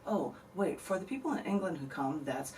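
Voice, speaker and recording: A woman's voice: fairly low pitch, sing-song, a little fast, darkish tone. A chatty woman poet talks through a radio interview in loose, rambling sentences with frequent laughs. She sits a bit back from the studio mic, and a faint hiss runs underneath. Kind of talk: casual radio interview chat. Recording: distant mic, faint noise.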